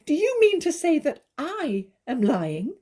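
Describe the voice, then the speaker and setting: An actress playing a naive, jealous young wife, pleading and accusing in stagey dramatic dialogue. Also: female voice, sits high, wildly swooping pitch, measured pace, mellow, exaggerated voice. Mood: indignant, accusing. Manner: imploring, emotional, accusatory.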